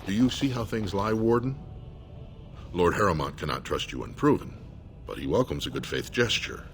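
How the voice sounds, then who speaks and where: A man playing a loyal, earnest dwarven soldier with a formal, measured delivery in a video game. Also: low voice, expressive, soft background music. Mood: solemn. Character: loyal dwarven soldier, solemn supporter of his lord. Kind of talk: scripted video game dialogue.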